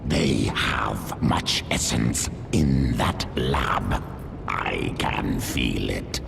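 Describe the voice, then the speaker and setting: An actor playing a hungry, savage primal alien beast in a video game, with drawn-out, menacing speech. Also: male voice, a bass voice, lively intonation, creaky, over music, full character voice.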